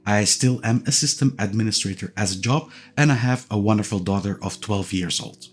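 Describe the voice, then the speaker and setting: A man's voice: on the low side, a little fast. A man in his forties, a native Dutch speaker with a Dutch accent, talking casually and hesitantly with lots of fillers as he introduces his review channel. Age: 40s. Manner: relaxed, hesitant, many "uh" and "yeah" fillers and repeated words. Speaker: YouTube reviewer of sim racing gear. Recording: faint music bed behind the voice.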